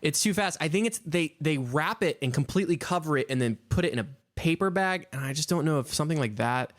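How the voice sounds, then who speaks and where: A man's voice: on the high side, lively intonation, quick pace, dry recording, studio quality. A man co-hosting a podcast, telling rambling casual stories with lots of fillers. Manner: rambling storyteller, heavy use of "like". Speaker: podcast co-host. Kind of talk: casual chat.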